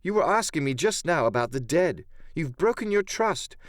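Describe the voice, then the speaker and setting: A man's voice: on the high side, very animated, normal speed, dry recording, clean studio sound, pitched above the performer's own voice. A man voicing a bold, earnest young sailor boy with an indignant, pious manner, in a dramatised audiobook.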